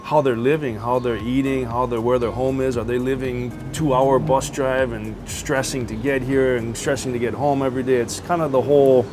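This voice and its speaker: A man who deals with visitors every day talks loosely in an interview, dropping local slang. His pitch is ordinary middle range. Music plays clearly under him. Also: steady tone, normal speed, hardly any pauses. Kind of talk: interview talk.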